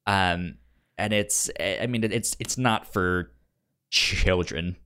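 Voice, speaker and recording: A man's voice: low-pitched, slow, bright, forceful tone, clean tone. A man co-hosting a podcast in casual film discussion, stammering and repeating syllables and words as he talks. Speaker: podcast co-host.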